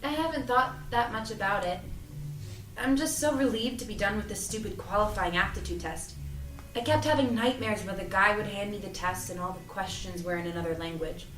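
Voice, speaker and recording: A woman's voice: mid-range voice, brisk, fairly bright tone, far from the mic, natural voice. A woman playing an earnest, anxious young apprentice in an audio drama read-through, speaking naturally and conversationally. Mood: anxious, confiding. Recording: roomy, off-mic, music underneath.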